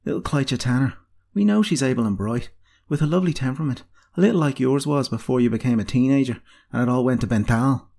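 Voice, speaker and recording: A man's voice: mid-range voice, fast, gravelly, mellow, pitched above the performer's own voice. A man voicing an old female healer, a wry and stern but tender mistress with a dry, teasing, commanding way of talking. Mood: thoughtful. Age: older.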